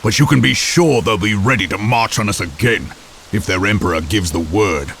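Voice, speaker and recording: A stern army commander in a fantasy game cutscene. His voice is on the low side and he reports in a blunt, soldierly way. A faint hiss sits under it. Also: moderate pace, gravelly, fairly bright tone. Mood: grim, warning.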